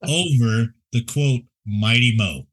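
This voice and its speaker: A man's voice: medium pitch, slow. A man co-hosting a casual podcast, reading out headlines and joking, laughing often. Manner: laughs often, animated delivery. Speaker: podcast co-host.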